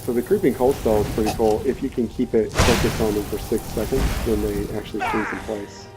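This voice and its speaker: A man's voice: on the low side, level tone, brisk. A man giving casual, rambling, laid-back commentary as he hosts a let's play. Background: game music and rain.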